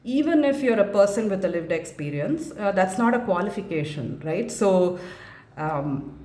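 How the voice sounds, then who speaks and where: A woman in her forties from India speaks frankly and thoughtfully as a mental health advocate, pausing often on "uh" and "um" in a reflective monologue. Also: fairly low pitch, darkish tone. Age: 40s. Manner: thoughtful, frank, many "uh" and "um" pauses. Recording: echoey room.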